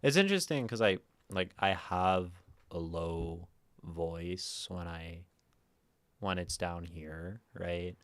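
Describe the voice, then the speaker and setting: A man with a naturally low voice, hosting a livestream and musing softly and aimlessly with many fillers. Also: takes it slowly. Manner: soft, meandering, lots of fillers; prefers talking quietly and a little higher. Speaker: livestream host. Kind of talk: casual livestream chat.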